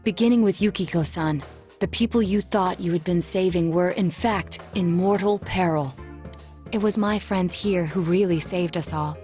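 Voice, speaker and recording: A woman playing a formal, composed young investigator in a video game, measured and precise. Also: fairly low pitch, darkish tone, soft background music, lightly acted. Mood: grave, composed. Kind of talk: dramatic video game voice acting.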